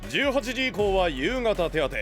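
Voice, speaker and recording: A man's voice: high-pitched, sing-song, a little slow, music in the background. A man playing a rugged, big-hearted young knight leader, brash and frank, in an anime audio drama. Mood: proud, earnest.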